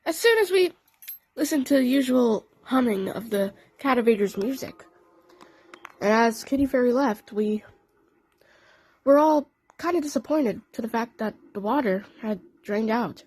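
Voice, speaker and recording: High-pitched, animated woman telling a story. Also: measured pace, slightly rough, dry recording, poor audio. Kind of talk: scripted fan story narration.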